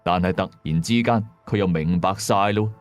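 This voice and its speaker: A man's voice: deep voice, moderate pace, smooth, soft background music. A man with a Hong Kong accent narrating a scripted ghost story in a colloquial, dramatic and suspenseful way.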